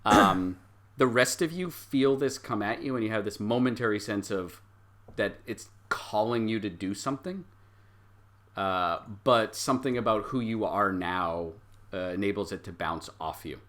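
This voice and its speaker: A man acting as game master, improvising narration for a tabletop game in a deliberate, explanatory way with pauses. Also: fairly low pitch, a bit bright.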